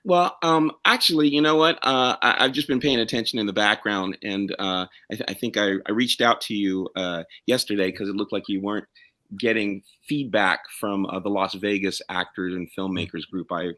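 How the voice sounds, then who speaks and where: A man's voice: on the low side, moderate pace, pushed and bright. A man chatting casually as he introduces himself for an audition, with an eager manner. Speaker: auditioning singer-songwriter. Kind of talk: casual chat, self-introduction.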